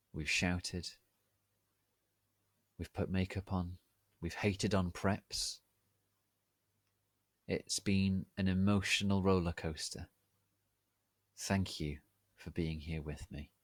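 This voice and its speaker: A man giving a theatrical dramatic reading as narrator, with deliberate, mock-solemn, melodramatic emphasis. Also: sits low, steady tone, dry recording, clean studio sound. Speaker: narrator reading fan fiction aloud.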